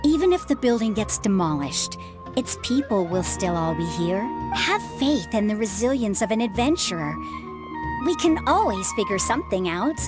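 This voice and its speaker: A woman playing a calm, reassuring retired adventurer. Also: medium pitch, moderate pace, natural voice. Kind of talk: video game voice acting. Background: game music.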